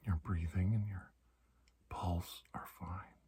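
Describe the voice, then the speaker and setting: A man whispering softly in an ASMR roleplay as a calm, reassuring doctor, speaking in halting, soothing short phrases. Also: deep voice. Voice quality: breathy, mostly whispered. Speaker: ASMR performer playing a doctor.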